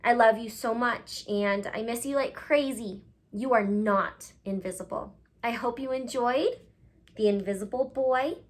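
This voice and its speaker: A woman school teacher speaking expressively to young children as in a storybook read-aloud, affectionate and with gentle emphasis. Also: a little high, unhurried.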